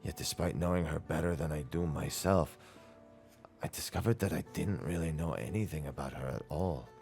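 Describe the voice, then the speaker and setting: Man doing a deep-voiced aging craftsman. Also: vocal fry, a little mellow, faint music behind. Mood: tender, earnest. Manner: measured, formal, earnest phrasing. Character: gruff but fond older craftsman nearing retirement. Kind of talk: video game dialogue read aloud in character.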